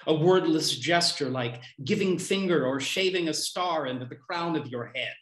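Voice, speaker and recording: A man in his fifties reads poetry in a fairly high voice for a man. He delivers short lines deliberately, with pauses between them. The sound is a roomy, echoey video call from a room at home. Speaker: poet reading own poems. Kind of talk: live poetry reading. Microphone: computer mic on a video call.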